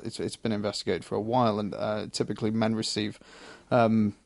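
A man in casual discussion as a co-host, halting and often stammering over his words. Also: fairly low pitch, level tone, creaky, a little mellow, no echo. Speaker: co-host of a chat show.

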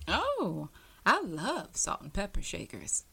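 Slow, expressive woman podcast interviewer. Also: mid-range voice, vocal fry, husky. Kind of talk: conversational interviewing.

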